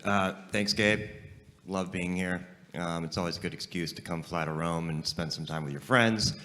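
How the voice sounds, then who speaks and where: A man, a company CEO, speaking on a conference panel in a relaxed, joking way while explaining technical ideas plainly. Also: low-pitched, moderate pace, slight background noise. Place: large conference hall, stage. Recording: long hall reverb.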